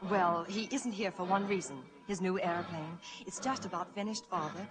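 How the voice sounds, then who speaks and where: A woman acting a devoted, spirited, warm-hearted young woman in a 1940s radio drama. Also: lowish voice, normal speed, crowd noise, natural voice. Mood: glad, proud.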